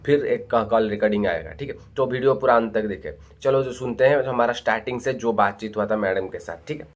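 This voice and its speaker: A man's voice: lowish voice, fairly quick. A man giving informal exposé commentary to viewers as a vlogger, often checking in with his listeners. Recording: dull lo-fi audio.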